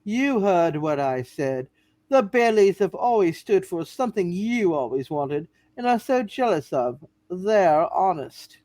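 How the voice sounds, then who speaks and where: A woman's voice: lowish voice, big pitch swings, unhurried, mellow, no echo. A woman acting a principled, defiant state bank examiner with a folksy, indignant manner in a radio play. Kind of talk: hammed-up radio play reading.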